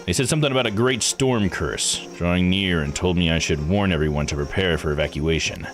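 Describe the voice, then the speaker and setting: A man voicing a gruff, folksy old island boss in a visual novel, blunt and dismissive. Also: low voice, fairly quick, soft background music, lightly acted. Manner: blunt, slangy, domineering. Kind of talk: visual novel read-aloud voice acting.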